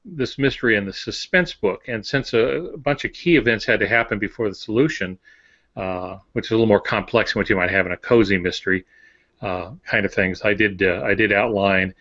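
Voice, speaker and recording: A man, a novelist, talking casually on a podcast in a measured, explanatory way. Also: lowish voice, a bit bright. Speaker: thriller novelist, podcast co-host. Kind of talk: casual podcast discussion of writing. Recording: dull, lo-fi podcast audio.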